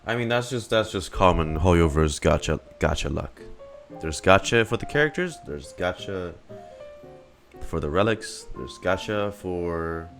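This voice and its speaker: Deep-voiced man streaming a game. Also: animated, unhurried, soft background music. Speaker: streamer reacting to a video game. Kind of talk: casual live stream commentary.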